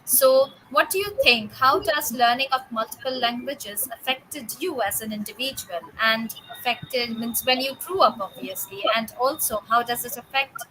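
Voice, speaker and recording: Woman, moderator, bright crisp voice, fairly high pitch, formal long questions. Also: normal speed, few pauses, slight background noise, low-quality recording. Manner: formal, long questions and hand-offs to panelists. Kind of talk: moderating a panel discussion.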